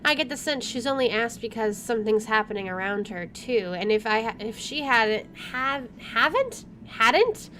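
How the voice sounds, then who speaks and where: A woman reads game narration aloud as a let's play host. Her voice is fairly high, she trips over and rereads the odd phrase, and there is faint noise behind her. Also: animated, normal speed, few pauses, bright, forceful tone. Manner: stumbles over words and rereads them. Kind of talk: reading visual novel narration aloud with side comments.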